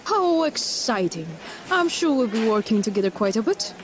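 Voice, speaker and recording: A woman's voice: a little high, sing-song, normal speed, a little hiss or hum. An actress playing a cold, sardonic female hospital doctor who talks down to people with sweet pet names, in an audio drama. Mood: silky, condescending. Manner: honeyed, condescending, calls people dear and darling. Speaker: attending doctor.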